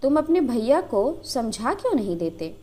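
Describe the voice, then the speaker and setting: A woman's voice: fairly high pitch, very animated, normal speed, warm tone. A woman storyteller playing a playful young village wife who banters coyly but stays loyal. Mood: teasing. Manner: coy, bantering.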